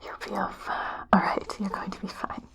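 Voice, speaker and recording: A woman playing a calm, reassuring sci-fi doctor in a hushed, soothing whispered ASMR roleplay. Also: close to an everyday voice. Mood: gentle reassurance. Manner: slow, soothing, hushed delivery. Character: calm, reassuring female doctor on an alien-planet expedition.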